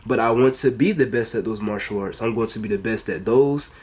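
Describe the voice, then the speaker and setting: A man's voice: lowish voice, a little fast, a little mellow, slightly rough. A teenage male with an American accent chatting casually to camera in an earnest, rambling and repetitive way. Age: teenager, under 18. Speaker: fitness vlogger. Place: bedroom at home, indoors.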